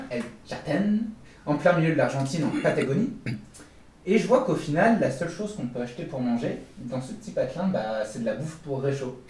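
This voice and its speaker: A man's voice: on the high side, a little mellow, reverberant, recorded from a distance, slight background noise. A man co-hosting a podcast, telling funny stories in a casual, self-mocking way and laughing often. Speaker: podcast co-host. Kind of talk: casual comic storytelling.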